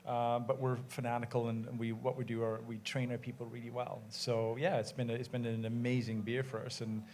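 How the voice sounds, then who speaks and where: A man's voice: mid-range voice, little pitch movement, fairly quick, darkish tone, slight background noise. A man who co-owns a brewery, answering passionately and emphatically on a panel in front of an audience, speaking into a microphone. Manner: emphatic, passionate, quotes conversations. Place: event room with an audience of brewers.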